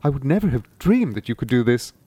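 A man voicing a clever, scheming talking cat in boots, bossy with peasants and flattering to a sorcerer. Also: mid-range voice, quick pace, husky, no room sound. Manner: bold, coaxing, commanding.

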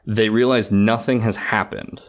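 A man's voice: fairly low pitch, steady tone, measured pace. A man co-hosting a casual film discussion, earnest and thinking aloud as he goes. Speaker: podcast co-host.

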